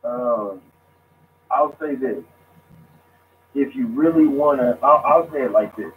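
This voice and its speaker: A man speaking casually as a guest, halting and restarting his sentences. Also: medium pitch. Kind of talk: casual discussion. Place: lives abroad. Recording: tinny phone line with faint noise.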